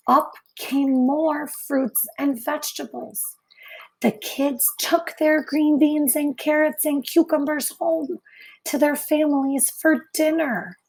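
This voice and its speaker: A woman reading a picture book aloud to children as a teacher, phrasing deliberately with many pauses and adding brief asides to young listeners. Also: sits high, a little mellow, rough recording.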